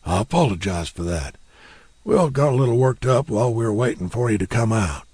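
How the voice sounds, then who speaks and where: A man's voice: medium pitch, animated, fairly quick, a little mellow, husky, no room sound, voice pushed up. A man voicing a menacing, blunt middle-aged townsman with a bat in an audiobook. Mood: grudging.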